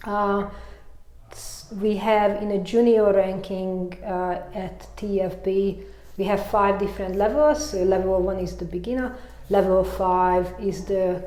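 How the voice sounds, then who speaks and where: A woman with a Hungarian accent talks through her story in a loose, chatty way, peppering it with "you know" and easy laughs. Her pitch sits in a middle range but barely moves, staying quite level. The sound bounces around an echoey room. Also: steady tone, a little slow, dark tone. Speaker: mountain bike coach. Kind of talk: casual interview chat.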